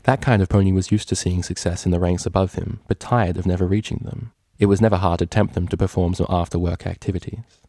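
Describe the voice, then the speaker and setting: A man narrates a story in a low, gravelly voice with a dark, mellow tone. The sound is close and dry, as he reads scripted prose. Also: fairly even intonation, fast talker, no echo. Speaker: fan fiction reader.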